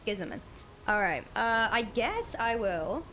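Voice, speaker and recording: A woman's voice: on the high side, very animated, a little slow, pushed and bright, a little hiss or hum. A woman playing a tabletop role-playing game, chatting and asking unsure questions about the rules. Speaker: player. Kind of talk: casual tabletop play.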